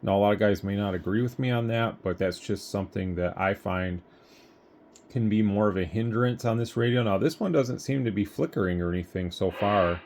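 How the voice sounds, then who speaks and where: Male reviewer, low register, informal rambling demo style, home phone recording with faint noise behind. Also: a little fast, a little mellow. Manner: rambling, hands-on explaining. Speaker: radio equipment reviewer. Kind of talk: casual product demonstration. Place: home room with radio test equipment. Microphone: phone camera.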